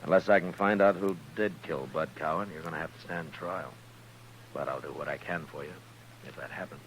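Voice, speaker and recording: A man acting a steady, dryly wry U.S. marshal in a 1950s radio western, speaking dialogue and first-person narration. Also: rumbling low, brisk, a little hiss or hum, rough recording. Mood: probing, measured. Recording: old radio broadcast with music.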